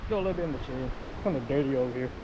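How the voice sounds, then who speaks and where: A man's voice: mid-range voice, racing, highs cut off. A man giving a casual, improvised product demonstration as a vlogger, explaining things in an informal, meandering, easygoing way outdoors by the water. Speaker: vlogger demonstrating marine VHF radios. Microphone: action camera. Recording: windy outdoor recording with water noise.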